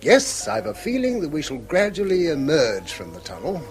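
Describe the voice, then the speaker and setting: Wry, lightish-voiced English schoolmaster. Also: male voice, on the high side, huge pitch swings, creaky, soft background music, exaggerated voice. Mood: wry, reflective. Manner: wry, bookish, unhurried. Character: dry, witty, middle-aged English schoolmaster. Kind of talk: British radio drama acting.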